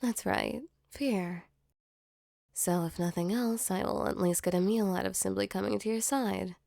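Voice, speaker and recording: A woman voicing a gentle, protective female demon in a soft, soothing ASMR comfort roleplay. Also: medium pitch, a little slow, gravelly, no room sound, clean studio sound. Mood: gentle, matter-of-fact. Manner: soothing, tender, reassuring.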